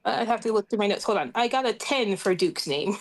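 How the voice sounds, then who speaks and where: A woman's voice: mid-range voice, expressive, fast talker. A woman chatting casually and playfully as a player in an online tabletop roleplaying game.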